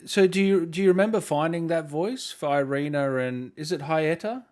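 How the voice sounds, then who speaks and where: A man hosts a casual interview in a fairly high-pitched voice. He chips in eagerly with short, encouraging comments and questions. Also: moderate pace, a little mellow. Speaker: host, interviewer.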